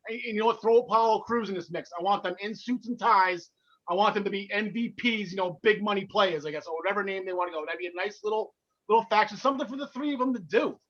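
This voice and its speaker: A man with a North Jersey accent rattles through his thoughts on the show at speed, tripping over his words and restarting. His voice sits high and sounds bright and edgy. The recording is muffled and lo-fi. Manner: fast-talking, excitable, stumbling restarts. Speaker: podcast co-host. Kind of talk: casual wrestling chat.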